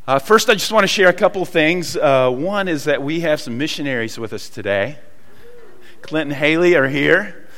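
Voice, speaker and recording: Southern pastor, folksy, halting sermon delivery, slightly high voice, long hall reverb. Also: male voice, lively intonation, fairly bright tone. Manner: folksy storytelling with many pauses and fillers. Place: church hall.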